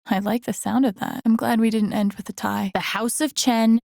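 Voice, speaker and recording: Creaky-voiced, introspective young woman. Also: fairly even intonation, moderate pace, a little mellow, no echo, studio quality. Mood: wry, fond. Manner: low-key, introspective, muttering to herself. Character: grieving, wry, determined young woman in a drama game. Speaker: video game voice actress. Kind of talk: naturalistic game character lines, inner-monologue asides.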